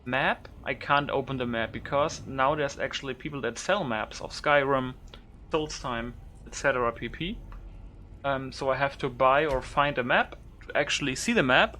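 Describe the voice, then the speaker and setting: Male gaming YouTuber, mid-pitched, informal and unscripted, thinking aloud over faint background noise. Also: normal speed, sharp tone. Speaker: gaming YouTuber showing a modded game. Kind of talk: casual unscripted game commentary.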